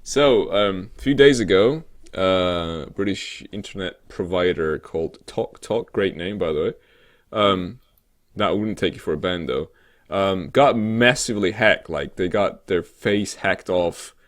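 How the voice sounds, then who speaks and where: A man co-hosting a gaming show in casual, unscripted chat, rambling and halting, with a joking way of talking. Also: low voice. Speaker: co-host of a weekly gaming news show.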